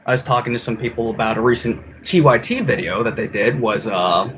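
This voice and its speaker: A man's voice: medium pitch, a little fast, a bit bright. A man in casual conversation, talking in an informal, effusive and rambling way. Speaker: podcast guest and YouTuber. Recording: noisy, ambient background noise.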